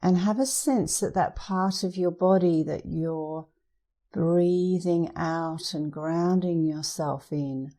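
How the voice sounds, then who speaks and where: A woman meditation instructor leading a guided meditation in a soothing, gentle, unhurried manner. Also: lowish voice.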